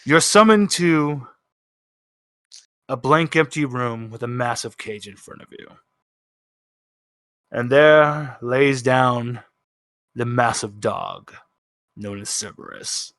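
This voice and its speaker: A man's voice: mid-range voice, expressive, takes it slowly, long pauses, fairly bright tone, no echo. A man narrating a tabletop role-playing game as game master, improvising descriptions for the players.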